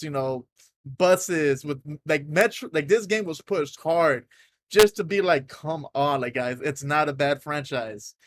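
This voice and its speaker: A man co-hosting a casual gaming podcast, loud and animated, tripping over restarts as he makes his points. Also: on the high side, dry recording. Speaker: podcast co-host. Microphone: desk mic, podcast setup.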